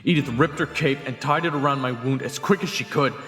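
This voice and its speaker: An actor playing a compassionate young fantasy hero in an audio drama, speaking earnestly and emotively. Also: male voice, mid-range voice, brisk, over music, close to an everyday voice. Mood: grim, urgent. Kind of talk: audio drama acting and narration.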